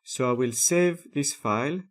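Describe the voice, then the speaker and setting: A man, methodically narrating a screen-recorded software tutorial step by step, with frequent filler sounds. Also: mid-range voice, unhurried.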